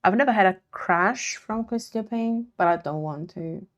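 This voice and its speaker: A woman with an Italian accent, streaming as she draws, chatting quietly in murmured asides, her voice slightly croaky today. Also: mid-range voice, normal speed, lo-fi sound. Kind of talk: casual live-stream chat while drawing.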